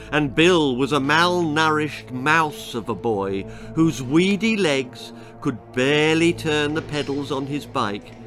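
A man in his sixties reading a children's story aloud in a theatrical, deliberate way, relishing gruesome words. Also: medium pitch. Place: small indoor room lined with bookshelves.

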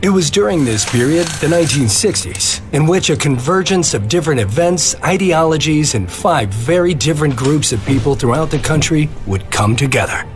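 A man's voice: a little high, expressive, moderate pace, few pauses, soft background music, close to an everyday voice. A man voicing an earnest American environmental historian giving scripted explanatory commentary.

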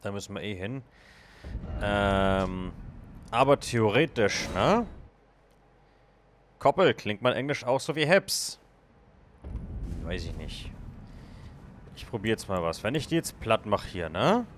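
A man's voice: fairly low pitch, sing-song, slow, long pauses, a little hiss or hum. A man casually commenting on his game as he plays, thinking aloud and chatting with viewers. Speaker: let's play gamer.

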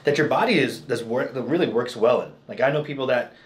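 A man's voice: mid-range voice, quick pace. A man in his thirties, a chiropractor talking casually and explaining things to a patient. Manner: informal, explanatory. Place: small indoor treatment room. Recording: clearly noisy small treatment room.

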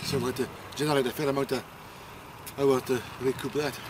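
A man, a visitor chatting casually, agreeable and quick to murmur assent. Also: medium pitch, flat delivery. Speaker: visitor asking questions. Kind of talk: casual outdoor chat. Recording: outdoor camcorder audio with background noise.

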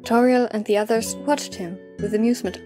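A woman's voice: a little high, steady tone, brisk, music in the background. A woman reading scripted story narration as the narrator of an audio drama.

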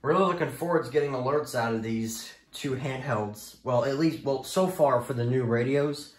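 A man's voice: medium pitch, normal speed. A young American man talking casually and off the cuff, rambling hesitantly and repeating himself as he shows things to the camera. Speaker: hobbyist video maker. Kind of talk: casual unscripted show-and-tell. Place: small indoor room at home. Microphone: phone camera held by speaker. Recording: echoey room, handheld camera.

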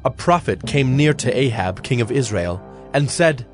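A man narrating an audio Bible as a scripted, measured storyteller. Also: mid-range voice, lively intonation. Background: music.

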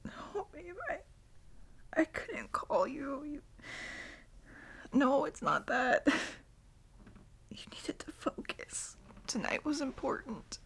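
A woman playing a tearful, insecure girlfriend in an ASMR roleplay, crying and speaking in halting, breathy, self-deprecating phrases. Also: high-pitched, very animated, heavily acted. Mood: apologetic, tearful. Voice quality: breathy, whispery. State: crying, sniffly. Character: tearful, insecure young girlfriend seeking comfort.

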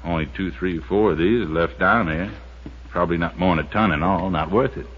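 An actor playing a folksy, practical, easygoing fishing buddy in a 1950s radio drama. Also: male voice, deep voice, moderate pace, slightly rough. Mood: matter-of-fact, wary. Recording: dull, very noisy 1950s radio recording.

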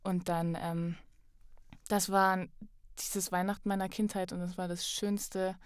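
A woman's voice: on the low side, level tone, a little slow, clean tone, no echo. Young woman chatting, dry close sound. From Thuringia, Germany. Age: young adult. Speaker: podcast guest, actress. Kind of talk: casual conversational interview.

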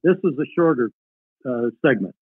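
A man's voice: mid-range voice, animated, moderate pace, husky, no echo. An older man being interviewed, talking and reading old letters aloud. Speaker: podcast guest reading a relative's wartime letters. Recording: tinny, phone-like call audio.

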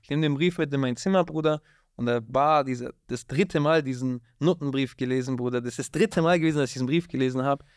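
Man, animated storyteller, dry close studio mic, mid-pitched. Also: fast talker, smooth, dry recording. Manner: street slang, constant "Bruder", animated storytelling. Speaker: guest, rapper. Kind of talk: casual interview storytelling. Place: dark studio with blue lights. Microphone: studio mic on boom arm.

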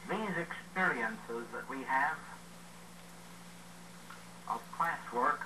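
A man's voice: medium pitch, lively intonation, very slow, far from the mic, background noise. A man speaking on an old tape recording played back through a speaker.

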